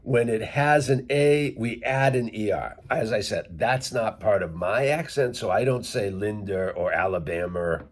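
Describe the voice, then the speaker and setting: A middle-aged man with a Worcester, Massachusetts accent walks his viewers through English pronunciation, spelling words out letter by letter. He talks slowly, and his lowish voice has a husky edge. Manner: unhurried, explains step by step, spells out words. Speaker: English teacher vlogger. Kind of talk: casual teaching talk to camera.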